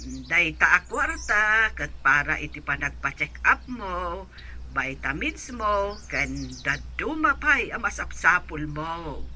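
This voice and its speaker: An actress with an Ilocano accent playing a kindly, doting aunt who gives advice in a radio drama, faint noise behind. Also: female voice, on the low side, sing-song, takes it slowly, sharp tone, full character voice. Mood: caring, advising.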